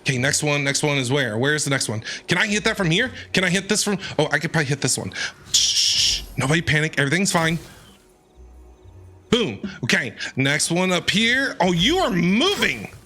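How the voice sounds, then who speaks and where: A man giving excited game stream commentary, exclaiming and repeating his phrases in a panicky way. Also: on the high side, brisk, soft background music. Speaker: streamer playing and reacting to a video game.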